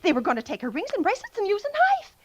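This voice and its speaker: An actress playing an anxious, imperious invalid wife in a vintage radio thriller, stammering, flustered and demanding. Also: female voice, extremely high pitch, huge pitch swings, racing, muffled recording, heavily acted. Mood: anxious, insistent. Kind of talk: 1940s radio thriller acting. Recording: restored vintage radio recording.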